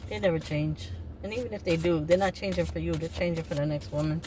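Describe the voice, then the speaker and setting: Woman, 50s, card reader. Lowish voice, chatty and rambling, a little far from the mic with faint hiss. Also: fairly even intonation, fast talker, warm tone. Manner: rambling, self-interrupting, chatty. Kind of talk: casual spiritual card reading. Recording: distant mic with faint noise.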